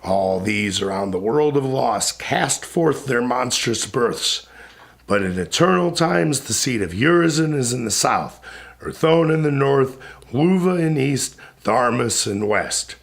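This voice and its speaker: A man giving a solemn, dramatic recitation of epic poetry in a grave, declamatory manner with deliberate pauses between phrases. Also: medium pitch, expressive, creaky.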